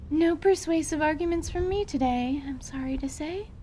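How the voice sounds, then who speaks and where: A woman's voice: high voice, unhurried, dark tone, a little hiss or hum. A woman playing an earnest, scholarly mage and teacher in a fantasy audio drama, explaining in precise, formal words. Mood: reassuring.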